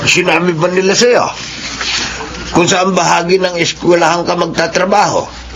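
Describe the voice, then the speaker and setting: An actor playing a fussy, caring old grandfather with a Filipino accent in a radio drama, creaky-voiced and questioning. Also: high-pitched, little pitch movement, normal speed. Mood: probing, concerned. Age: older. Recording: noisy radio drama recording.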